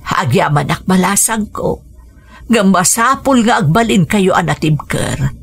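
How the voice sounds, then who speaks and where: A woman playing a caring, humble older mother in a radio drama, gentle, hesitant and fretful in manner. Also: mid-range voice, animated, a little slow, vocal fry, slightly rough, exaggerated voice. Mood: earnest.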